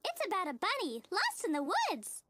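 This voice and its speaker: Squeaky, sky-high cheerful cartoon little girl. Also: wildly swooping pitch, moderate pace, heavy background noise, full character voice. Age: child character. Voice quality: squeaky, girlish. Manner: gushing, innocent, sing-song. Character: relentlessly cheerful, naive, sweet little girl. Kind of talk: animated TV cartoon acting. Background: playful children's music.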